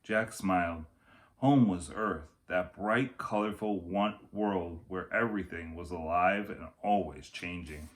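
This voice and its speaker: A man's voice: deep voice, a little slow. A man narrating a storybook for children in the manner of a teacher reading aloud.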